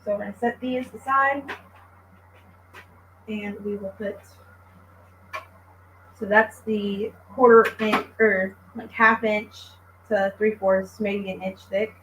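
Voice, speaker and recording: A young woman calmly explaining, step by step, how she makes a recipe. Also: mid-range voice, slow, long pauses. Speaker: home cook demonstrating a recipe. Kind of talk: cooking demonstration. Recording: echoey kitchen with faint noise.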